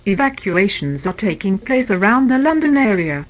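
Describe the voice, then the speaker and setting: Woman emergency announcer, formal and impersonal, scripted alert, very up and down pitch. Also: very animated, normal speed.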